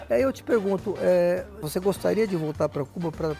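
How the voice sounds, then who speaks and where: A man hosting a TV interview, his voice gravelly and creaky, feeling his way through each question slowly with restarts. Faint music sits behind him in the studio. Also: high voice, warm tone. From Brazil. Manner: slow, searching questions with many restarts. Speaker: TV host.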